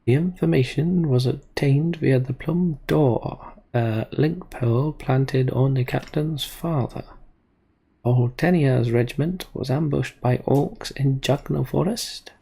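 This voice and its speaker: A man's voice: medium pitch, slow pace, creaky, dark tone, slightly rough, exaggerated voice. A man voicing an earnest, dutiful military engineer reading a formal report from a fantasy game. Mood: grave.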